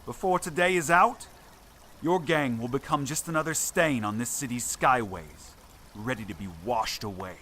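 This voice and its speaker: Man acting arrogant young male villain, sharp forceful voice, sneering, menacing, animated, audiobook character, faint noise. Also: mid-range voice, moderate pace, pitched above the performer's own voice, close to an everyday voice. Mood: threatening.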